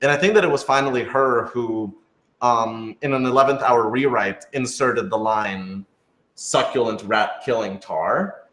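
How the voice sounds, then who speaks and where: Halting, casual man in an echoey room. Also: mid-range voice, fairly bright tone. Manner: halting, hedging, thinks aloud. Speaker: podcast creator and writer reading fan questions. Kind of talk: casual Q&A discussion. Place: indoor room with echo. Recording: roomy, echoing room sound.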